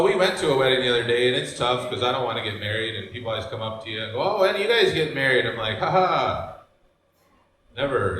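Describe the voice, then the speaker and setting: Deadpan Michigan man doing stand-up. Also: mid-range voice, a little hiss or hum. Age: 40s. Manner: deadpan, drawling, with loose asides to the crowd. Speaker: stand-up comedian. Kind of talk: stand-up comedy set. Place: brewery stage before a live audience. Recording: roomy live venue sound.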